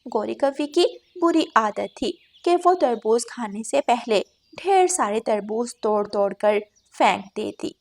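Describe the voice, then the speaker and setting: A woman's voice: a little high, lively intonation, measured pace, hardly any pauses, darkish tone. A woman narrating a scripted children's story as the storyteller.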